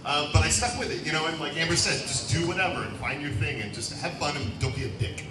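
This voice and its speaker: A man's voice: fairly high pitch, fast, background noise. A man actor on a convention panel, talking in a laid-back, slangy way. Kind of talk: casual panel talk. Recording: echoey hall audio from far off, loud crowd and music.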